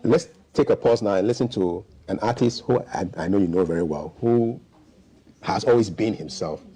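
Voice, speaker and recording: A male host keeps the interview moving with short affirmations and easy lead-ins. His voice sits low and mellow. It has a husky edge. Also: moderate pace, rough recording. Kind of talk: studio interview.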